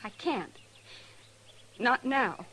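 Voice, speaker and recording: High, emotional young frontier woman. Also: expressive, extremely slow, sharp tone, full character voice. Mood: defiant. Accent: American. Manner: emotional, defiant, pleading. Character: headstrong, distraught young frontier woman in love. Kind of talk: old-time radio melodrama acting. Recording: noisy vintage radio drama recording.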